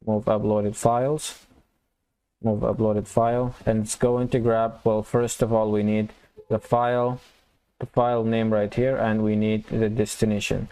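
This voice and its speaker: Male tutorial instructor, informal and halting, with a lowish, very even delivery for step-by-step screen walkthroughs. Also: clear, resonant tone. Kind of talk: casual screen-recorded coding walkthrough, thinking aloud.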